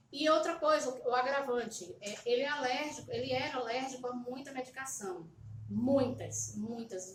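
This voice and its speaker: A middle-aged Brazilian woman telling a personal story to camera from her home, hesitant and repeating words with many fillers. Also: high voice. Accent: Brazilian Portuguese. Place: home, at a table. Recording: roomy echo, slightly distant mic, faint background hum.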